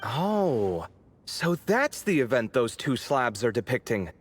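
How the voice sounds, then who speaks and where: An observant adventurer in a fantasy game. He is matter-of-fact, with a mid-pitched, lively voice over faint background noise. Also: slow. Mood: thoughtful. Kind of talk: video game character dialogue.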